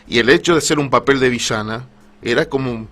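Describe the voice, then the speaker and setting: An Argentine man chats as a radio interviewer with a bright, forward voice at an ordinary male pitch. He is deferential and agreeable, feeling his way through his sentences. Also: brisk. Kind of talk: casual radio interview chat. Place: radio show.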